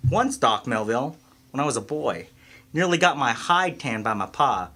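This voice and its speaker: A man playing a folksy, excitable small-town constable who also drives the ambulance, in a radio mystery. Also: mid-range voice, moderate pace, breathy edge, exaggerated voice. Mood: folksy, wary. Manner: folksy country talk. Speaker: amateur voice actor in an audio mystery. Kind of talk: scripted radio mystery acting.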